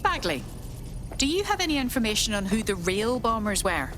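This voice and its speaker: A woman's voice: on the high side, sing-song, a little fast, a bit bright. A woman playing a cautious new resistance recruit in a video game, in an everyday voice. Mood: concerned. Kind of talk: scripted video game voice acting.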